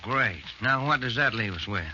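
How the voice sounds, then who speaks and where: A man's voice: mid-range voice, animated, moderate pace, sharp tone. An actor playing an easygoing male police sergeant in a 1940s radio drama, talking plainly and conversationally. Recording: muffled, noisy 1940s radio recording.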